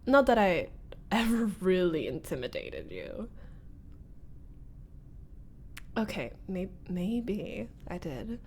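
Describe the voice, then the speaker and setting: Slow-paced young woman, wry and flustered acting. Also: medium pitch, very animated, darkish tone, slight background noise. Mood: awkward, teasing. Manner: hesitant, sighing, nervous laughs, wry muttering. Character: young woman, flustered former school bully meeting new roommate. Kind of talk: scripted roleplay audio monologue, ASMR-like.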